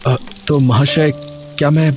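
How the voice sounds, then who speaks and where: A man playing a humble, honest young village cook in an animated story, speaking hesitantly and deferentially. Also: fairly high pitch, measured pace, soft background music, lightly acted. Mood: nervous, humble. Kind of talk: Hindi animated story dialogue.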